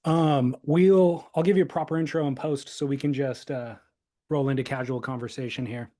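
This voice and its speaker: A man's voice: medium pitch, steady tone, normal speed, mellow. A man hosting a surf podcast, chatting casually and easygoing over a video call. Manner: easygoing, conversational with fillers. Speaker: podcast host. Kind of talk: casual chat.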